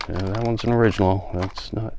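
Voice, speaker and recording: A man's voice: lowish voice, fairly even intonation, fairly quick, noisy background. A middle-aged man giving casual, unscripted show-and-tell commentary, with offhand muttered asides to himself. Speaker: vlogger showing a music collection.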